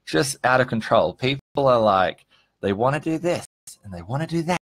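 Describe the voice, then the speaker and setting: A man's voice: mid-range voice, animated, moderate pace, a bit bright, dry recording, clean studio sound. A man in his early thirties chatting casually and earnestly on a livestream, speaking informally with some swearing and emphatic points. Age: early 30s. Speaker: vlogger hosting a live stream. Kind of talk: casual motivational livestream chat, answering viewers.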